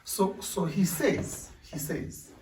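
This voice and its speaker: A man's voice: high-pitched, expressive, slow pace, darkish tone. A man co-hosting a casual discussion. He talks hesitantly, with stumbling restarts, and agrees readily. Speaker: podcast co-host. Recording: echoey room, distant mic, faint noise.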